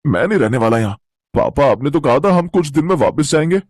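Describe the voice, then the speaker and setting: Cartoon spoiled city boy, whiny braggart adult male performer; husky, swinging pitch, dry sound. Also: animated, fast talker, darkish tone, no room sound. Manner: bratty, complaining. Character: greedy, whiny, boastful chubby city boy.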